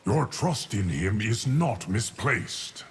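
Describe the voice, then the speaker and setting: An actor playing an ageless, solemn guardian of time in a video game, speaking in a deep, gravelly, measured and formal voice. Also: male voice, animated, a little hiss or hum. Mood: grave, calm. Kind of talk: video game character dialogue.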